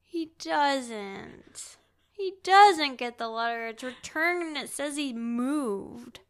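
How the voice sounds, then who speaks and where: A woman's voice: high voice, animated, slow pace, clear, resonant tone. A woman co-hosting a podcast, talking casually and empathetically about a book in a conversational way. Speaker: podcast co-host.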